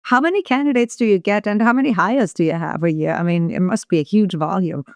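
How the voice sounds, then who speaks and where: Woman podcast host, mid pitch, dry close sound, friendly direct questions. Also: a little fast, no room sound. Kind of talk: interview questions.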